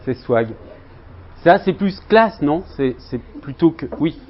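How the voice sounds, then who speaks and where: A man's voice: on the high side, lively intonation, takes it slowly. Hesitant male lecturer, lo-fi. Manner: hesitant, many fillers and restarts. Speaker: university lecturer in linguistics. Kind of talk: seminar talk with students. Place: university lecture room.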